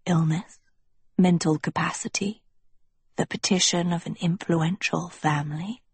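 A woman voicing an old, mysterious male schoolmaster in a breathy whisper, slow, smug and silkily condescending in a storybook reading. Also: lowish voice, steady tone, darkish tone, no echo, full character voice. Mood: quietly smug.

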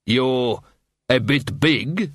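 A man's voice: on the high side, lively intonation, unhurried, a bit bright, no room sound, studio quality, pitched above the performer's own voice. A man voicing a hesitant, grumbling dwarf from a fantasy crowd.

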